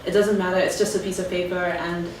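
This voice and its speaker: A woman's voice: fairly low pitch, level tone, quick pace, a little mellow. A woman on a career panel who gives casual, chatty advice with many fillers. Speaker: alumna panelist. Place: lecture room. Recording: echoey room, distant mic, background noise.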